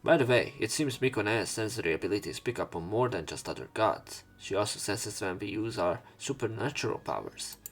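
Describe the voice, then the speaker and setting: Low-voiced man narrating a visual novel. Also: lively intonation, fairly quick, few pauses. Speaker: let's play narrator. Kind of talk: reading visual novel lines aloud.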